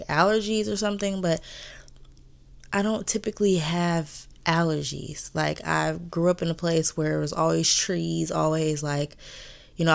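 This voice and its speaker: A woman's voice: sits low, vocal fry, smooth. A young woman vlogging in a casual, chatty way, sounding congested and stuffy, rambling and repeating herself. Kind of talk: casual vlog chat.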